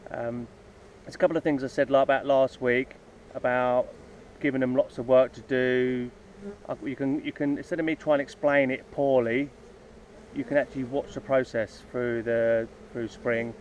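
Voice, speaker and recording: A man's voice: mid-range voice, level tone, moderate pace, a little mellow, slight background noise. A man casually talking outdoors by his beehives, rambling with lots of ums and restarts as he explains his plans. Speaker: hobby beekeeper making videos. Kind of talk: casual unscripted vlog talk. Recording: dull lo-fi outdoor sound, faint insects buzzing.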